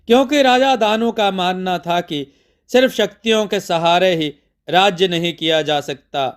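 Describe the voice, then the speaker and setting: A man narrating a scripted mythological tale as a storyteller, in measured, deliberate phrases with pauses. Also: high voice, clean tone.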